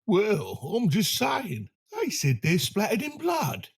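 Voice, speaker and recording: An actor playing a tentative, deferential Victorian railway station superintendent in an audio drama. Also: male voice, sits high, huge pitch swings, slow, creaky, slightly rough, exaggerated voice.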